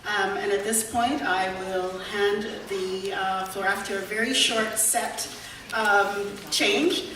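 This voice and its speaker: A woman's voice: medium pitch, steady tone, slow, recorded from a distance. A woman moderating a public meeting, courteous and thankful, keeping questions moving along. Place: large echoey meeting room. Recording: echoey hall audio from afar with noise.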